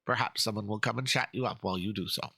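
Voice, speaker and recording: A man performing a florid, fussy painter, bright and raspy. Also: lowish voice, quick pace, no echo, clean studio sound, full character voice. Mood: cordial, chatty. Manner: florid, theatrical, pompous. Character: passionate, fussy male painter, florid and easily indignant. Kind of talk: dramatic reading of game dialogue.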